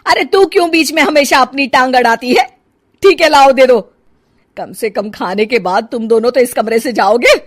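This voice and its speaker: A woman's voice: high-pitched, moderate pace, no room sound, clean studio sound. A woman playing an older mother-in-law in a dramatised moral story, sulky, sentimental and doting, scolding and pleading. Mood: irritated.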